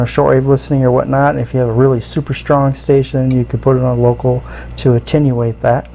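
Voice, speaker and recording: A man hosting a casual, unscripted hobby review, explaining features in a halting, easygoing way. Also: mid-range voice, dark tone, poor audio. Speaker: radio hobbyist host reviewing gear. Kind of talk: casual unscripted product walkthrough.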